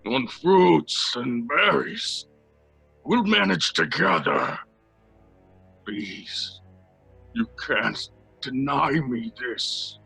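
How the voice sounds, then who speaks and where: A desperate, menacing creature from an old-time radio horror play. The voice is rough and husky with a harsh, forceful edge. He drags his words out very slowly and haltingly. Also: medium pitch, animated, no room sound, faint music behind, full character voice. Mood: desperate pleading. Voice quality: gravelly, raspy. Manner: halting, drawn-out, swooping between whispers and cries. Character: man-made monster, desperate, pleading, menacing male creature.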